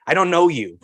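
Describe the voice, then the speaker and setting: A man's voice: high-pitched, a bit bright, no room sound, studio quality. A man in his late thirties with a head cold, talking bluntly and offhandedly as a podcast co-host. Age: 30s. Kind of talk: casual chat.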